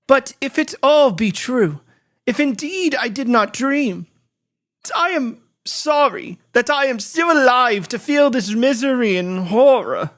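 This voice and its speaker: A man playing an anguished, guilt-ridden imprisoned gentleman, narrating a literary audiobook in a theatrical, brooding manner. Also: very high voice, lively intonation, a little slow, exaggerated voice. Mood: despairing.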